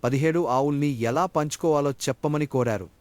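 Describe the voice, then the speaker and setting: Higher-pitched male storyteller. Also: fairly quick, a little mellow. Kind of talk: scripted children's moral story narration.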